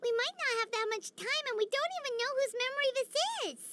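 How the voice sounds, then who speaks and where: A woman voicing a tiny, excitable female fairy companion in a video game, chirpy and exclamatory. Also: very high voice, brisk, very noisy. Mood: eager, concerned. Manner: exclamatory, chirpy, speaks of herself in third person. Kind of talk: animated video game voice acting.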